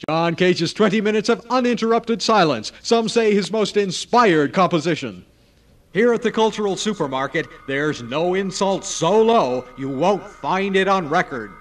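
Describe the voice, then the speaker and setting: A man playing an earnest, emphatic pitchman in a satirical radio commercial. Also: lively intonation, moderate pace. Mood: earnest hard sell. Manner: emphatic, sentimental hard sell. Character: excitable TV record-offer pitchman, comically earnest. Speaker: announcer. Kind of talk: parody commercial announcing.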